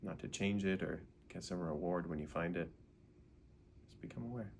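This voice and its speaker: A man leading a guided meditation in an unhurried way, gently asking questions and leaving pauses. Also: low-pitched, gravelly, slight background noise.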